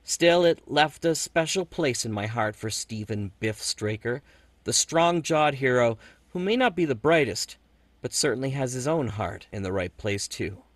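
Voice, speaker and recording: A man's voice: medium pitch, expressive, normal speed, no echo. A man hosting an audio drama, telling a scripted personal story in radio narration style. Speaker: host and producer.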